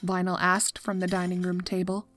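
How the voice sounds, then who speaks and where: A woman's voice: lowish voice, monotone, normal speed, fairly bright tone, slight background noise. A woman reading scripted story narration as the narrator. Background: music.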